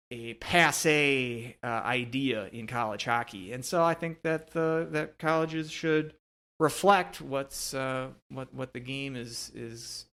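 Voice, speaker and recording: A man's voice: mid-range voice, sharp tone, clear, resonant tone. A man co-hosting a sports podcast, talking casually about hockey in a hesitant, meandering way. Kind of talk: casual hockey commentary chat.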